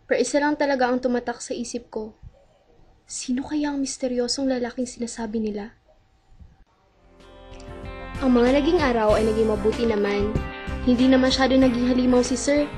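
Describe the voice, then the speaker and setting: A woman's voice: on the high side, steady tone, moderate pace, pauses often, natural voice. A woman playing a lovesick young hospital worker. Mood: reflective. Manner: soft, wistful, breaking into tearful pleading. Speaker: lead and narrator. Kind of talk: amateur radio drama. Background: music.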